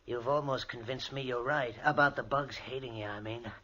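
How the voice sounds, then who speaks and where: An actor playing a bold, sly lab assistant in an old-time radio mystery, speaking with insistent intensity. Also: male voice, mid-range voice, moderate pace, bright, forceful tone, natural voice. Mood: ominous. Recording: muffled, noisy vintage radio recording.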